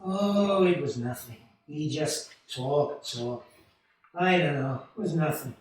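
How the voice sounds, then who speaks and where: A man playing an earnest, excitable young small-town family man in a 1940s-style radio play, with a stammering, folksy delivery. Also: fairly high pitch, takes it slowly. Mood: dejected. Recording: distant, very echoey stage hall.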